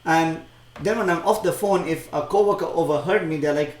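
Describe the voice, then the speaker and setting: A Kenyan woman co-hosting a live stream, explaining her points calmly in English and Swahili. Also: low-pitched, a little fast, roomy sound. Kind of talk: casual chat mixing English and Swahili.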